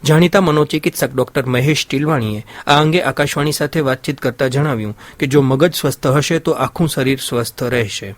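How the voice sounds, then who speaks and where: A man's voice: medium pitch, brisk, few pauses, dry recording. A man presenting radio news, reading a formal scripted bulletin.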